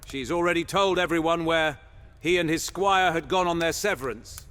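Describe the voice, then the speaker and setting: A man's voice: a little high, normal speed, a bit bright, slight background noise, close to an everyday voice. A man playing a proud, gossipy paladin who banters and teases in a fantasy roleplay audio drama. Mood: indignant, gossipy. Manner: teasing, indignant, conspiratorial.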